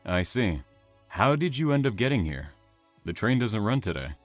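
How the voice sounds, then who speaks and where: An actor playing a polite, reserved, slightly awkward man with formal, measured speech in an audio drama. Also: fairly low pitch, sing-song, no echo, soft background music. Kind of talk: naturalistic audio drama acting.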